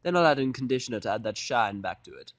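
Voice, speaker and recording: A man voicing a refined, theatrical female pony fashionista in an audiodrama, fussy and flattering, with affected, drawn-out genteel phrasing. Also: mid-range voice, dry recording, natural voice. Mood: eager, gushing. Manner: affected, drawn-out, genteel delivery with terms of endearment. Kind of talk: audiodrama fan fiction character voice.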